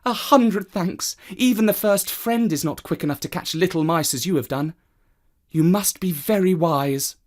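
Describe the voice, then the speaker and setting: A storyteller voicing a clever, excitable cave woman in a children's tale, with an exclamatory delivery. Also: mid-range voice, moderate pace, pitched above the performer's own voice, natural voice. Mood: grateful.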